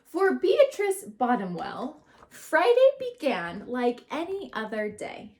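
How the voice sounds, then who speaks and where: Slow-paced woman reading aloud to children. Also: sits high, wildly swooping pitch, darkish tone. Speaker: school educator reading to children. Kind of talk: read-aloud storytelling lesson for children.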